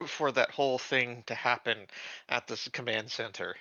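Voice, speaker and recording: A man chatting casually as a player in a tabletop game, phrasing things in a halting, tentative way. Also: medium pitch, fairly even intonation, pushed and bright, dry recording, highs cut off.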